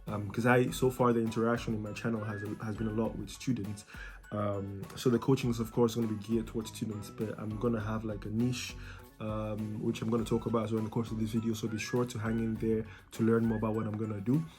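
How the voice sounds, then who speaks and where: A man's voice: fairly low pitch, level tone, fast, darkish tone, faint music behind. A young man from Cameroon who talks casually to his viewers about coaching students, friendly, with many ums and repeated words. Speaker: YouTuber and student life coach.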